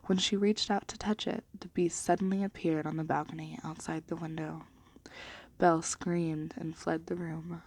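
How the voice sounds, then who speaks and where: Soft-spoken woman reading a storybook. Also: deep voice, little pitch movement. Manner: gentle, hushed, deliberate storytelling. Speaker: ASMR reader.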